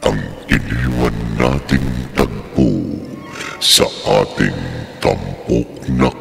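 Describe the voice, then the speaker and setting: Extremely deep, gravelly Filipino male announcer. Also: takes it slowly. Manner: theatrical, dramatic. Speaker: announcer and narrator. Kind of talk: ominous horror radio drama narration. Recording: radio production with music bed.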